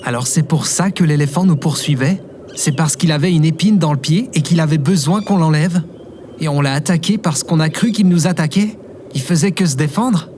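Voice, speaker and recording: A man's voice: fairly high pitch, normal speed, a little mellow, a little hiss or hum, natural voice. A man playing a reluctant, easily flustered human leader in a comedic audio drama. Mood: bewildered.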